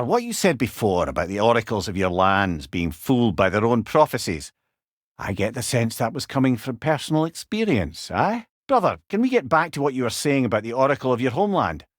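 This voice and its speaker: A man's voice: medium pitch, no echo, studio quality. A man voicing a witty, wise old storyteller in a video game, with a Scottish accent and an eloquent, wry, conversational manner. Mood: inquisitive, wry. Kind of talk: dramatic video game dialogue.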